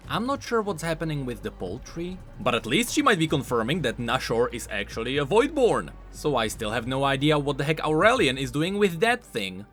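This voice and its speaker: A man hosting a game lore video essay, explaining in an expressive, informal and emphatic way, scripted but with casual asides. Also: mid-range voice, a little fast, few pauses. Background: background music.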